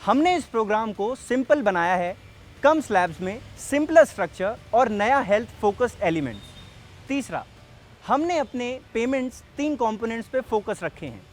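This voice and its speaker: A man's voice: very high-pitched, big pitch swings, moderate pace, a little hiss or hum. A man playing an earnest, persuasive sales rep, explaining things directly to the listener in a scripted training film. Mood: explanatory, upbeat. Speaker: presenter and sales representative. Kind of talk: scripted training film narration and role-play.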